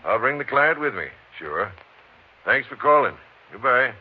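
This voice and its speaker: An actor playing a folksy small-town motel owner in a 1950s radio western, with a rustic, somewhat hesitant way of talking. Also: male voice, medium pitch, big pitch swings, a little slow. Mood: affable. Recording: hissy, muffled old 1950s radio recording.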